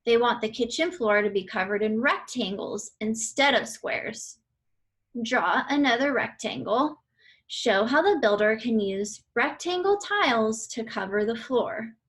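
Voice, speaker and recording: Slow, high-pitched woman reading aloud. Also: animated, fairly bright tone. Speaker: read-aloud reader for children. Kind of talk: children's educational read-aloud.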